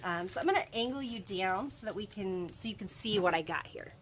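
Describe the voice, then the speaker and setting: A woman's voice: medium pitch, animated, fairly quick, a bit bright. A congested woman in her thirties, still getting over a cold, talks casually to her viewers on a desk camera. Her speech is chatty and full of ums and restarts. A faint hum of the room sits behind her. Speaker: bead shop video host. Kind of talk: casual live stream chat. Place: cluttered office workroom, indoors.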